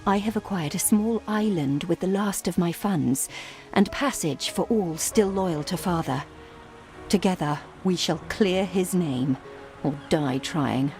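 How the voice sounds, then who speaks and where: Devoted little sister in a period game, formal and earnest, unhurried, music behind. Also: female voice, on the low side, a little mellow. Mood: grave, determined. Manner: formal, dramatic. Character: earnest, genteel, devoted younger sister in industrial-age story. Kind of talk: video game story dialogue.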